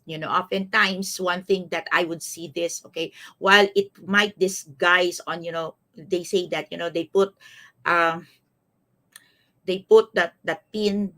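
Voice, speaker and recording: A woman's voice: lowish voice, fairly bright tone. A woman in her fifties with a Filipino accent, giving a motivational live-streamed coaching talk, repeating phrases and often checking in with her listeners. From Philippines. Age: 50s. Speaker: life coach, host of a live series.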